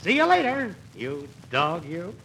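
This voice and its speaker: A bright, forceful male voice with lively swoops, playing an excitable, chummy flatterer. It is emphatic 1940s radio comedy, with faint noise on the old recording. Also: a little high, a little slow, vocal fry, exaggerated voice, rough recording. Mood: chummy, excited. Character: excitable, chummy man flattering his gullible pal.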